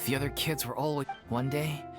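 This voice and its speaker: A woman's voice: very deep voice, steady tone, a little fast, music in the background. A woman voicing an easygoing, cheeky, loyal young male troupe actor in a video game, with a laid-back delivery. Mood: somber.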